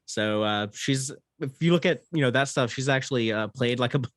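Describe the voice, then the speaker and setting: Mid-pitched man chatting, very dry sound. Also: a little fast, dry recording. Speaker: podcast guest. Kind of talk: casual fan discussion.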